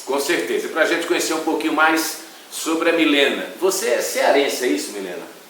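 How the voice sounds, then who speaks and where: A man's voice: a little high, lively intonation, a little fast, fairly bright tone. A man with a Brazilian accent hosting an online football interview show, presenting to viewers and putting questions to a guest. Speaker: sports show host. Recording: very echoey room, distant mic, faint hiss.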